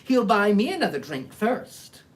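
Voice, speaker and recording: A man's voice: sits high, sing-song, unhurried, voice pushed up. A man voicing a gruesome, toothless old crone who wheedles for drinks in a storybook reading. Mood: jealous.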